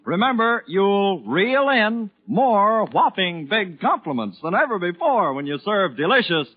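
Male announcer, 1940s network radio, high voice, salesmanlike and emphatic delivery, muffled vintage recording. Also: very animated, unhurried, slightly rough.

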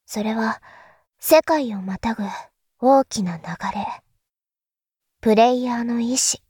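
A woman playing a calm, enigmatic elder sister in an audio drama, speaking in a deliberate, philosophical, teacherly way. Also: a little high, breathy edge, dry recording, studio quality, heavily acted. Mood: grave. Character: calm, enigmatic elder sister musing darkly about world affairs. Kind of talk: Japanese audio drama acting.

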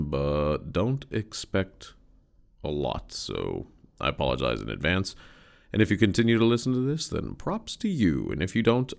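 A very deep, gravelly male voice with a lively, swooping pitch, stuffy and a little nasal from a head cold. He chats loosely and self-deprecatingly to his listeners, swearing freely, and is recorded on a USB condenser mic in a hotel room. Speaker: podcast host. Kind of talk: casual solo podcast chat.

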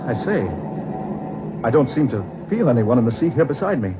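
Man acting as suave haunted gentleman, theatrical, animated pitch, 1940s radio play, muffled recording with faint noise. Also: a little fast, close to an everyday voice. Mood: startled, nervous. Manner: theatrical, genteel. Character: suave gentleman turning frantic, haunted by a rival.

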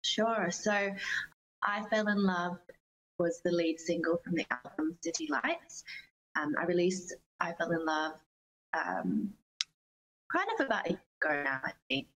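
A woman's voice: fairly low pitch, very animated, a little slow, fairly bright tone, a little hiss or hum, low-quality recording. A woman with an Australian accent, chatting casually and earnestly as an enthusiastic interview guest. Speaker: interview guest, singer-songwriter.

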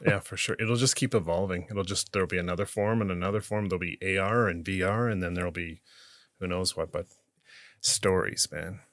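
Low-voiced relaxed podcast host. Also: male voice, brisk, no room sound, clean studio sound. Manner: relaxed, conversational questioning. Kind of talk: casual interview hosting.

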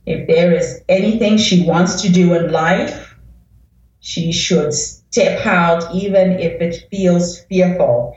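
A woman from Africa, an inspirational speaker, answering interview questions in an earnest, deliberate way. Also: on the low side, steady tone, a little mellow. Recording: echoey, dull-sounding remote line.

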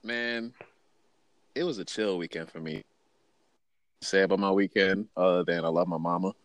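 A man's voice: low voice, normal speed, no room sound. A young man hosting a casual podcast, laid-back and joking, with slangy, easygoing talk. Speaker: podcast host.

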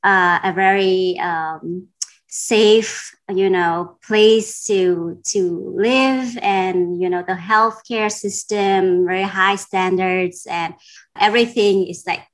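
Woman from Denmark, interview guest, medium pitch, thoughtful, casual, hesitant phrasing. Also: fairly even intonation, slow pace. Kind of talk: casual reflective conversation.

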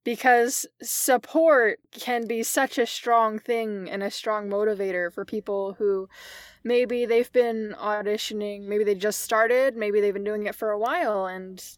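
A woman's voice: medium pitch, steady tone, unhurried, dry recording. A woman co-hosting a casual podcast about voice acting who speaks in an encouraging, supportive way. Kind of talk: casual chat.

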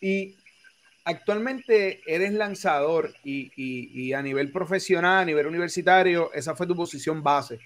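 A man's voice: a little high, moderate pace, fairly bright tone. A man hosting a casual interview, chatty and stammering, with many fillers. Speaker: podcast host.

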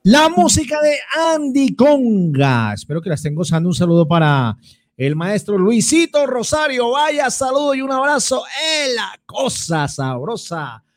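A high-voiced man hosts a salsa radio show. He reads listener messages and sends greetings in lively, chatty DJ patter. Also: measured pace. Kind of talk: music show hosting with listener shout-outs.

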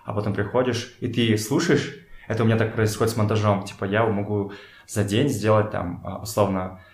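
A man with a fairly low voice chats as a guest in an echoey room at someone's home. His delivery is conversational and slangy, with repeated words as he builds his point. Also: level tone, brisk. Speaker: podcast guest. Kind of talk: casual conversational storytelling.

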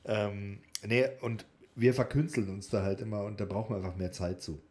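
A man's voice: lowish voice, steady tone, moderate pace, darkish tone. A man co-hosting a podcast in casual banter, with a jokey, teasing way of talking. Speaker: podcast co-host.

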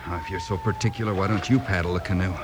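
An actor playing a reluctant, resentful henchman in an old radio western, talking in a gruff, folksy way. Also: low voice, fairly even intonation, very fast, natural voice. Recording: noisy old radio drama recording.

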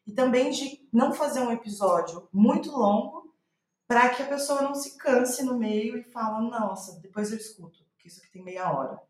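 A woman's voice: on the high side, lively intonation, moderate pace, a little mellow. A woman with a Brazilian Portuguese accent speaking reflectively in an interview, thinking aloud and restating her phrases as she goes. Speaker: playwright, podcast guest. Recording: roomy, echoey sound.

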